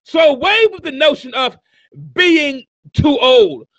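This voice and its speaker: A man in his fifties giving an emphatic motivational sermon, repeating phrases and building to shouts. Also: very high voice, expressive, slow pace, dry recording. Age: 50s. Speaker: motivational preacher. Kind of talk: sermon-style motivational speaking.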